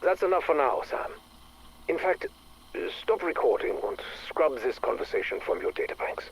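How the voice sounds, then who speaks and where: Husky, brooding scientist. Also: male voice, on the high side, expressive, poor audio. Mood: curt, irritated. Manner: formal, measured, terse. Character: obsessed, brooding male scientist recording private audio logs. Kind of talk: dramatic video game audio log acting.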